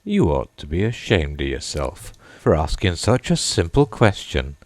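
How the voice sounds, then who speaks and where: A man voicing a gruff, impatient male Gryphon who scolds in a rough dialect. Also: deep voice, sing-song, normal speed, a little mellow, no room sound. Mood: scolding. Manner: brusque, scolding.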